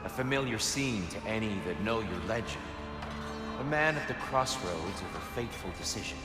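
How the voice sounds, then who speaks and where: An actor playing a calm, probing man who asks measured questions in video game dialogue. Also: lowish voice, natural voice. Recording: echoey, reverberant game audio with music.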